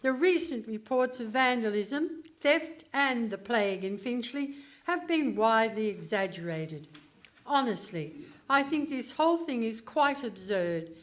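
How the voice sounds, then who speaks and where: A woman playing a haughty, pompous Iron Lady politician in a comic radio play, speaking in a stately, deliberate manner. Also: on the high side, animated. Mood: haughty, dismissive. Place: hall stage before a live audience.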